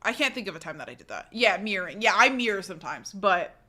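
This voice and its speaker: Bright-voiced woman chatting casually on stream. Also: fast talker. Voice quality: says her voice is fairly low and relaxed when tired. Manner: chatty, swears casually, many restarts and fillers. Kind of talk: casual chat reading live comments.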